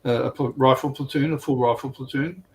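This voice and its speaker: A man with a medium-pitched voice talks informally through a wargame he played. His delivery is halting and slangy, with a readiness to mock himself. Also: fairly even intonation, darkish tone, rough recording. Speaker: tabletop wargame player on a livestream. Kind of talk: casual chat, informal game recap.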